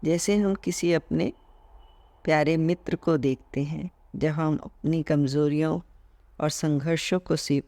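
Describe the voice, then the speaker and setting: A man leading a guided meditation as an instructor, speaking calmly in short measured phrases with gentle pauses. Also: on the high side, creaky, dry recording. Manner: mixes English words into Hindi, repeating terms in both.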